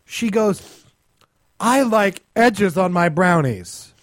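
Animated, high-voiced man ranting sarcastically. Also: slow pace, dry recording, studio quality. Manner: profane, sarcastic ranting with mocking imitations. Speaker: talk show co-host. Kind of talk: comedic radio banter.

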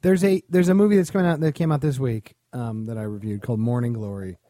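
A man's voice: medium pitch, steady tone, fast, dark tone, no echo, clean studio sound. A man co-hosting a podcast, chatting casually in a sardonic, opinionated way. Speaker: podcast co-host. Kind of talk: casual chat about film and television.

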